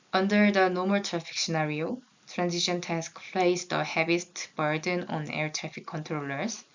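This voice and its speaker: Low, smooth-voiced woman presenting research. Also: a bit bright. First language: Korean. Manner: careful, slightly halting delivery with small restarts. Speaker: graduate student presenting research. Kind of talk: reading a conference presentation. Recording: slightly dull, lo-fi recording.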